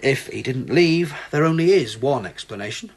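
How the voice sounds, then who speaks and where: An actor playing a calm, persistent police inspector who questions with measured, probing lines in a radio play. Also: male voice, medium pitch, breathy edge. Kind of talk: radio drama acting.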